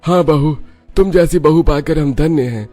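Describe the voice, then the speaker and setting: A man's voice: a little high, normal speed, a little mellow, faint music behind, natural voice. A man voicing a solemn, dramatic older father-in-law in a cartoon family drama. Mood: grateful.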